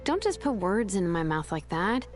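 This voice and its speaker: A woman voicing a cheeky, casual young woman in a video game, a teasing and expressive friend. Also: mid-range voice, normal speed, soft background music, natural voice. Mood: playful protest.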